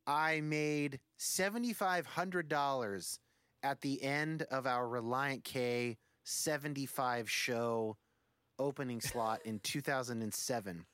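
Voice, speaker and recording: A man's voice: medium pitch, slow, a bit bright, smooth, no echo. A man in his forties, a musician guest on a podcast, talking casually in a rambling, thoughtful way with many fillers. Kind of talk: casual conversation.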